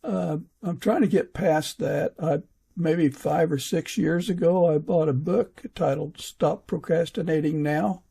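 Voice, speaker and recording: Male podcast host, higher-pitched with a relaxed delivery, halting, conversational. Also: creaky, breathy edge. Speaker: fiction author. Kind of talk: casual interview chat.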